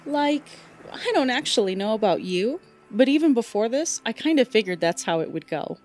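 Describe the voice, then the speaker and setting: A woman voices a teenage girl fighter with a fairly high voice, affectionate and sincere. She speaks in casual, halting phrases as a supportive best friend in an audiobook, over faint music. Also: animated, darkish tone, lightly acted. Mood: earnest, hesitant. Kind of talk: audiobook fan fiction dialogue.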